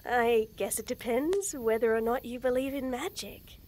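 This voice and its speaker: A young woman voicing a shy, nervous tomboy friend in a romantic roleplay audio, stammering, giggling and speaking softly. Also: fairly high pitch, moderate pace. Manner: stammering, giggly, soft-spoken. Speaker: voice actor.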